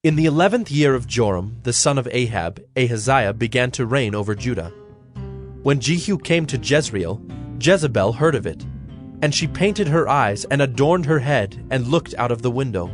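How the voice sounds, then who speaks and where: A man's voice: mid-range voice, lively intonation, normal speed, soft background music. Male narrator, mid-range voice, scripted dramatized scripture reading with light score beneath.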